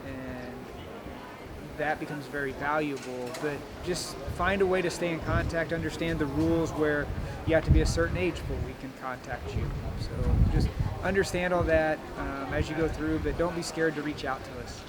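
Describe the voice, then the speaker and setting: A man who is a college golf coach, answering interview questions in a plain, steady, encouraging way. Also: a little high, moderate pace, over a noisy crowd. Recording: noisy outdoor recording.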